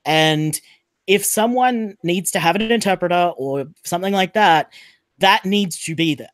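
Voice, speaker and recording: A man's voice: high voice, measured pace, a bit bright, no echo, studio quality. A man with an Australian accent talking casually and emphatically as a panelist on a podcast.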